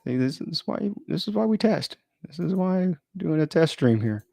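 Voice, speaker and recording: A man's voice: a little high, sing-song, moderate pace, creaky, dark tone, no echo, studio quality. A man chatting informally and thinking aloud as he runs an unplanned live stream, often interrupting himself in a rambling way.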